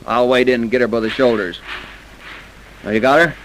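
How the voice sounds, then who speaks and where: Actor as bossy police officer, brisk, unflappable, medium male pitch, old radio drama. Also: fairly even intonation, fairly bright tone, lightly acted, rough recording. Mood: brisk, commanding. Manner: brisk, commanding. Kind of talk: 1940s radio drama acting.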